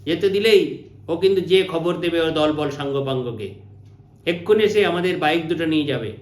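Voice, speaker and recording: A man's voice: a little high, expressive, normal speed, lightly acted. A man voicing a stranded, suspicious young biker in a story, commanding and scolding. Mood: angry threatening. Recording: roomy, echoing sound.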